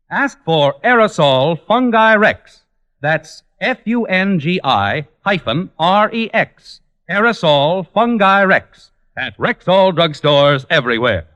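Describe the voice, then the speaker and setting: A man announces in classic radio commercial style. His voice is high and swings animatedly in pitch. He delivers a polished, carefully enunciated scripted sales pitch. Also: fairly bright tone, dull audio. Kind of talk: scripted old-time radio advertising read.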